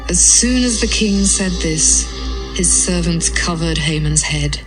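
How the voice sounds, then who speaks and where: A woman's voice: on the low side, unhurried, over music, poor audio. A woman narrating a scripted, dramatised Bible reading.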